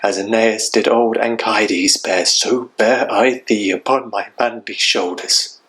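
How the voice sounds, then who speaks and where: A man's voice: fairly low pitch, fairly even intonation, slow, a bit bright. A man playing a grief-stricken, vengeful young warrior in a Shakespeare monologue, declaiming with anguished intensity. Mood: cold, vengeful.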